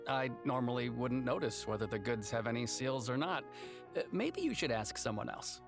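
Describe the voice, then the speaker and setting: A helpful, unsure townsperson from an anime game. The voice is creaky and animated, hesitant yet matter-of-fact. Music is clear in the background. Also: male voice, medium pitch, moderate pace. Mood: puzzled, unsure.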